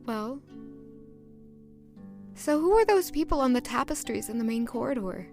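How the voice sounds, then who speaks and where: A woman's voice: a little high, lively intonation, normal speed, darkish tone. A woman playing a polite, curious, easily flustered young noblewoman in an audio drama, earnest and eager. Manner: earnest, courteous. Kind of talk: audio drama acting with inner monologue. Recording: music behind the voice.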